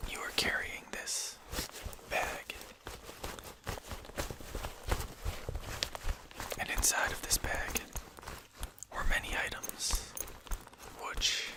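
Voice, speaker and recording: A performer voicing a courteous, composed vampire lord in a breathy whisper, speaking formally and politely for an ASMR roleplay. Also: lightly acted. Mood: calm, courteous menace. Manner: polite, formal, unhurried hushed speech.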